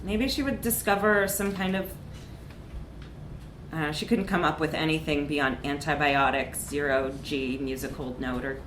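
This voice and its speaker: A woman reading a comic short story aloud in a live literary reading, with expressive, dramatic emphasis. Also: deep voice, moderate pace. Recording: echoey room with background noise.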